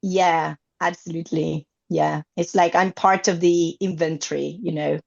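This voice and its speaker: A woman's voice: on the low side, fairly even intonation, measured pace, gravelly, a little mellow, dull audio. A woman in her fifties from Argentina, a native Spanish speaker, talking about her teaching career in an interview, hesitant with many fillers and restarts. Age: 50s. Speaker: guest, English teacher. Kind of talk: conversational interview.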